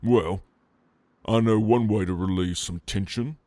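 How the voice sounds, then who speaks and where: A man's voice: fairly low pitch, measured pace, dark tone, no room sound, close to an everyday voice. A man voicing a cheeky, brash orc barbarian who flirts and teases casually in an ASMR roleplay. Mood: flirty teasing. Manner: teasing, playful, casual.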